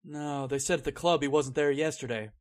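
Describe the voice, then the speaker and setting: A man's voice: on the high side, steady tone, a little fast, natural voice. A man playing an anxious, uneasy employee in an old-time radio drama, speaking in a worried, hesitant way. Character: anxious, uneasy male employee searching his employer's gloomy house.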